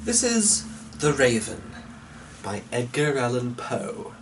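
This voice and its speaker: A man's voice: mid-range voice, sing-song, takes it slowly. A man hosting a casual vlog, rambling to his viewers in a muttering, distracted, mildly exasperated way. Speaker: vlog host. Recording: distant mic with faint background noise.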